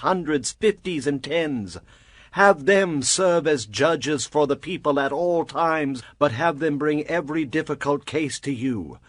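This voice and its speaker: A man's voice: a little high, no room sound. An actor playing an earnest, wise older father-in-law in a dramatized scripture production, speaking in an emphatic, declamatory way.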